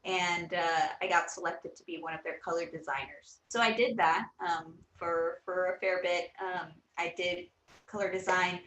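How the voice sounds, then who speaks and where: A woman's voice: medium pitch, a bit bright. A woman in her thirties from Puerto Rico, an animation creator answering interview questions earnestly, with many fillers and restarts. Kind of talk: casual interview. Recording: roomy echo.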